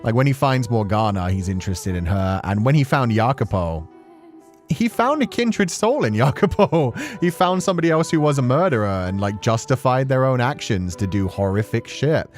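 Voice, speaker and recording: A man giving casual, animated commentary on a story game, swearing freely as a streamer. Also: medium pitch, moderate pace, faint music behind. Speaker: streamer playing and reading a visual novel.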